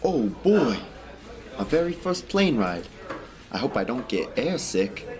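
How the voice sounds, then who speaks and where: A man's voice: medium pitch, sing-song, slow, background noise. Man acting a naive, earnest, eager dreamer. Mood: excited. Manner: earnest, polite. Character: naive, eager, good-hearted male Christmas enthusiast. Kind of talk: radio drama acting.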